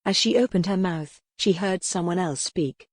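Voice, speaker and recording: A woman's voice: lowish voice, normal speed, no echo, studio quality. A woman reading scripted, dramatic narration for a historical romance audiobook.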